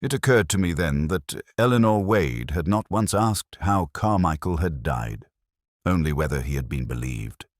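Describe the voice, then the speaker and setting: A man playing a world-weary older detective, narrating a mystery drama in a measured, literary, wry and melancholy way. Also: rumbling low, lively intonation, no echo, clean studio sound. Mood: brooding. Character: world-weary, brooding older male English detective narrating his case. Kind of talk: scripted first-person mystery audio drama narration.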